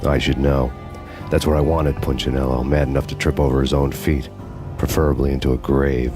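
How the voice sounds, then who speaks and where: An actor playing a cynical, hardboiled ex-cop in a game, speaking deadpan with dry sarcastic wit. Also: male voice, very deep voice, fairly quick. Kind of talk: noir game narration and dialogue. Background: music.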